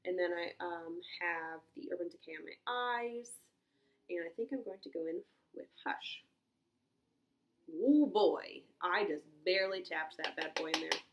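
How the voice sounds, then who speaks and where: A woman's voice: on the low side, unhurried, muffled recording. A young woman with a congested, stuffy voice, chatting casually as she swatches and reviews makeup, thinking aloud with many ums and small exclamations. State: congested from a cold. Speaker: beauty vlogger.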